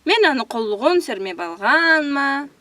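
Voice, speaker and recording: High-voiced woman rehearsing dubbing lines. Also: big pitch swings, unhurried, sharp tone. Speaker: voice actress in a dubbing session. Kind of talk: rehearsing and repeating scripted cartoon lines.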